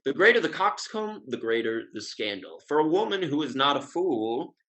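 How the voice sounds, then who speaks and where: A man playing a witty, urbane gentleman playboy in a Restoration comedy, speaking wry, elaborate period phrases in an articulate way. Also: a little high, very animated, moderate pace, full character voice. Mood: wry, fond. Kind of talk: Restoration comedy play reading.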